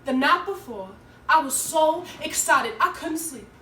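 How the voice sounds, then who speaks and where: A woman's voice: sits high, lively intonation, a little slow, bright, forceful tone, voice pushed up, full character voice. A woman playing an earnest, nervous teenage schoolgirl who tells her story in an emotive dramatic monologue. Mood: earnest, uneasy. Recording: echoey room, distant mic, faint noise.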